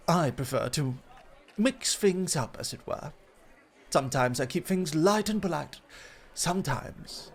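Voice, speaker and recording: Guy doing a flirty dog host guy for asmr roleplay, kinda high voice, suave n teasing, fancy polite wording. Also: gravelly, full character voice. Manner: polished, coy, formal phrasing.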